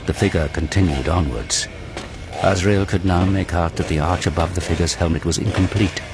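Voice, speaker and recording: A man narrating a scripted audio drama in a grave, measured storytelling manner. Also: deep voice, background noise. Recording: loud cinematic music behind the voice.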